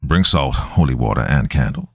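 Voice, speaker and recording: Man playing a deep, commanding exorcist. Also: lively intonation, moderate pace, husky, dry recording, clean studio sound, heavily acted. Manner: commanding, solemn, low-voiced praying. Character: stern, determined man performing an exorcism.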